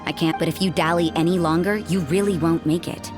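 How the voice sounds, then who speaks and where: A woman's voice: fairly low pitch, fairly even intonation, a little fast, lightly acted. A woman voicing a cool, cynical church sister in a video game, dry and aloof. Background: game music.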